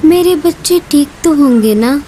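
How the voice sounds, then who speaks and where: A woman's voice: high-pitched, animated, measured pace, dark tone, a little hiss or hum, rough recording. A woman voicing a loving mother sparrow in a cartoon, speaking earnestly and anxiously.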